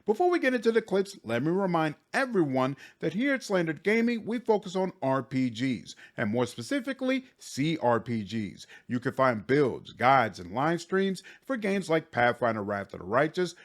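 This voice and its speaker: A man's voice: a little high, big pitch swings, normal speed, hardly any pauses. A man narrating a scripted voice-over as a gaming channel host.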